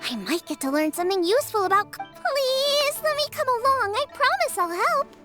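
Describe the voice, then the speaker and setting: A woman playing an eager, impulsive young girl in a video game, with a bright, girlish, bubbly voice. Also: very high-pitched, sing-song, normal speed, music in the background. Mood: eager pleading.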